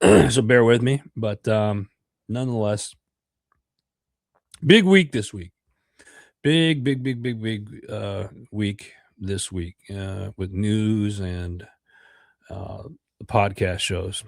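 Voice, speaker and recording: A man's voice: lowish voice, slow, vocal fry, pauses often, no room sound, studio quality. A middle-aged American man with a congested voice, hosting a podcast livestream in a rambling chat with many fillers and repeated words. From United States. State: congested from a cold. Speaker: podcast host.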